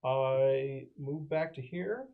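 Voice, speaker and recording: A man's voice: medium pitch, takes it slowly, creaky, phone-quality sound. A man playing a tabletop game, bantering casually and calling out his moves. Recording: faintly noisy room with dice clicks.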